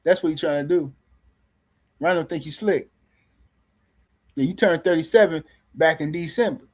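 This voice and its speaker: Male stream host, slightly high-pitched, loose teasing delivery, rambling casual talk. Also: normal speed, darkish tone. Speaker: boxing livestream host. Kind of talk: casual live-stream commentary.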